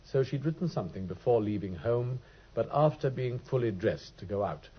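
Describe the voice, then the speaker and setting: An actor playing a brilliant, dryly teasing detective in a vintage radio drama, speaking with crisp, precise wit. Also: male voice, medium pitch, animated, normal speed. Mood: wry. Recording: dull old radio recording.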